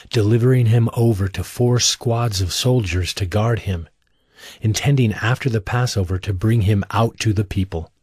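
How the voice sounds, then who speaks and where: Low-voiced man narrating scripture. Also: a little mellow, husky, no room sound, clean studio sound.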